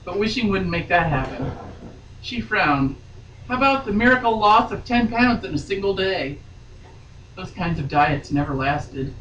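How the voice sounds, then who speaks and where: A woman's voice: medium pitch, lively intonation, normal speed, a bit bright, muffled recording. A woman giving a theatrical, mock-serious dramatic reading of a romance novel as a guest reader. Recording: echoey room, distant mic, faint noise.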